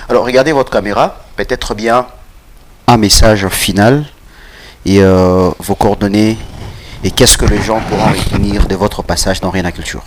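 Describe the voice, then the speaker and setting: A man's voice: lowish voice, normal speed, background noise. A man hosting an interview, loose and joking, with frequent hums of agreement. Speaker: show host. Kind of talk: casual interview chat.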